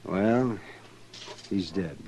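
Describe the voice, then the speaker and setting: An American man acting a blunt, excitable older town doctor in an old-time radio western, with a raspy voice. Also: deep voice, drawn-out words, slight background noise, heavily acted. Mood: grim. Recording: vintage radio drama recording.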